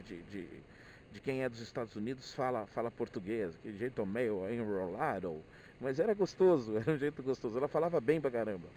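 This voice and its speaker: Brazilian man rambling, animated pitch, mellow voice. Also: a little hiss or hum. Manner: hesitant, repeats words while thinking aloud. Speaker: motorcyclist vlogger. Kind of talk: casual rambling monologue, personal reflections.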